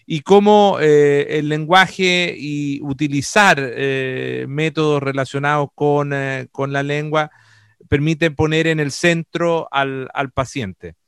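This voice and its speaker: A man's voice: a little high, steady tone, slow pace, a bit bright, clear, resonant tone, dry recording, studio quality. A man interviewing a guest on the radio in a conversational, hesitant way. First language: Spanish.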